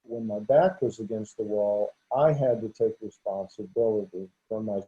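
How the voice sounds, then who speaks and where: A man's voice: fairly low pitch, moderate pace, mellow. A man in his sixties, a veterinarian being interviewed, talking openly and stuttering with repetitions and restarts. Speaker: guest, veterinarian. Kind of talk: conversational interview. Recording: dull lo-fi audio.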